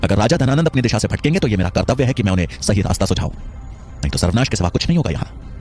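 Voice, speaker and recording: A man's voice: fairly low pitch, animated, rapid-fire, darkish tone, soft background music, lightly acted. A man playing a proud, principled scholar and teacher, speaking in an earnest, formal, declamatory way. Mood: resolute.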